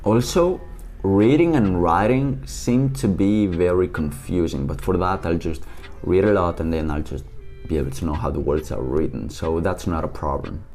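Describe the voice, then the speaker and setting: Young man, European Portuguese accent, very low voice, chatty solo vlogger, faint music bed. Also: a little mellow. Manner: chatty and upbeat, quick asides. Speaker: language-learning YouTuber. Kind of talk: casual solo vlog.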